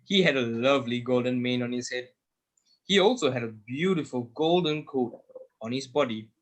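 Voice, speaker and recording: A man's voice: mid-range voice, moderate pace. A young male student narrating a children's animal tale as scripted readers theater. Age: teenager or young adult student.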